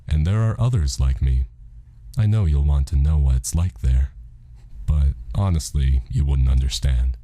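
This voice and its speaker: A man voicing a calm, matter-of-fact God in an audiobook, casual, deadpan and reassuring. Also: rumbling low, normal speed, no echo. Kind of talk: audiobook character dialogue.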